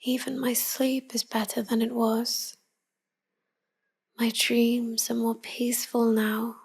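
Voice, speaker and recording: A woman playing a tender, melancholy vampire wife in an intimate pillow-talk roleplay, speaking softly and often whispering. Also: a little high, flat delivery, exaggerated voice. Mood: fond, haunted. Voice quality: soft, breathy, often whispering. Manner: slow, hushed, confiding. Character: tender, melancholy vampire wife devoted to her human lover.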